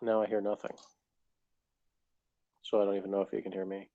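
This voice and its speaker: Male co-host, lowish voice, casual conversational commentary, dry and blunt. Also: monotone, a little fast, mellow, muffled recording. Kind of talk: casual chat.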